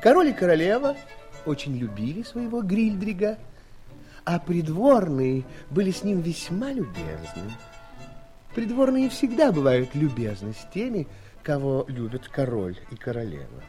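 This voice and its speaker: An actor serving as a wry, expressive storyteller, narrating a scripted tale in an audio play. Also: male voice, on the high side, moderate pace, faint music behind. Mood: wry, storytelling.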